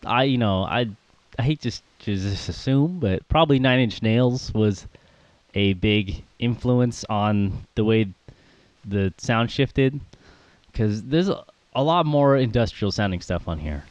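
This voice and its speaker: A man's voice: fairly low pitch, expressive, unhurried, a little mellow, no echo. A man co-hosting a casual podcast about music, rambling with plenty of fillers and little laughs. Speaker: podcast co-host.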